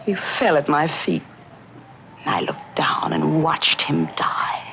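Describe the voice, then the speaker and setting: An actress playing a jealous, scheming mystery novelist who narrates an old-time radio thriller with melodramatic intensity and dramatic pauses. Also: female voice, low-pitched, gravelly, in a whisper, exaggerated voice. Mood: grim. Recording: muffled old radio recording, loud music.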